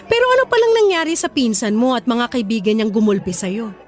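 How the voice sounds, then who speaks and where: A young woman voicing a supportive girlfriend in casual, expressive radio drama dialogue. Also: a little high, brisk. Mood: sympathetic. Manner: expressive, casual, sympathetic, code-switching into English. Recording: faint music under dialogue.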